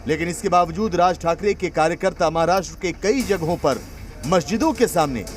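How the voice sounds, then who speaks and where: A man's voice: fairly high pitch, animated, fairly quick. A man reading a scripted news report as voice-over, with an emphatic, dramatic delivery. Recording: voice-over with music bed.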